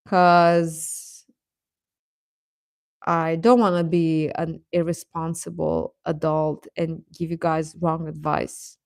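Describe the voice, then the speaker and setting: A woman's voice: deep voice, little pitch movement, slow pace, creaky, dry recording. A woman hosting a live stream, chatting casually with viewers in an informal, hesitant, self-deprecating way.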